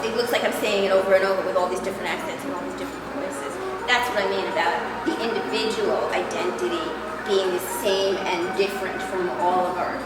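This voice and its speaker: Older woman, new york accent, halting speech full of ums, distant mic, echoey hall, loud noise. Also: medium pitch. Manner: halting, many ums and restarts. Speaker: artist presenting her work. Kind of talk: festival talk and Q&A. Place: large echoey venue. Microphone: distant microphone.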